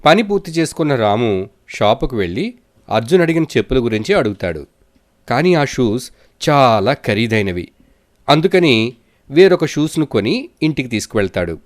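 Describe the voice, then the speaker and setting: A man's voice: mid-range voice, big pitch swings, moderate pace, long pauses. A man narrating scripted children's moral stories as a storyteller.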